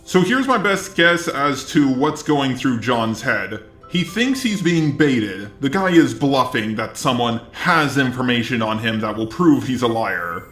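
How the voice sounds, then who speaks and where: A synthetic male robot voice narrates scripted video commentary at a middle pitch. It sits in an echoey space, with music playing behind it. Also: very animated, a little slow, fairly bright tone.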